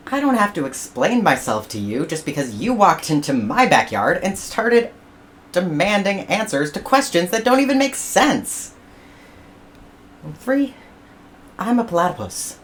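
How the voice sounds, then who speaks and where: A woman with a low voice voicing a testy, blunt platypus that insists on being just a platypus, in a kids' story. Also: sharp tone, a little hiss or hum, full character voice. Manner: exasperated, emphatic, raised voice. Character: testy egg-laying platypus, blunt and fed up.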